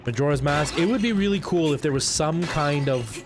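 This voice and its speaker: A man's voice: on the high side, animated, normal speed, over music. A man chatting casually and enthusiastically with viewers as he hosts a video game livestream. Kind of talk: casual livestream chat while playing a game.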